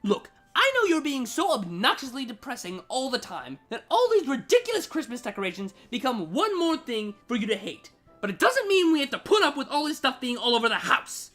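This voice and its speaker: A cutting, irritable female siren from a fan story, as acted by a narrator. Her voice is high and bright, swinging widely as she sneers and snaps with sarcasm. Music plays softly behind her. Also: big pitch swings, a little fast, few pauses. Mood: sarcastic, scornful. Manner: sardonic, snapping, exasperated.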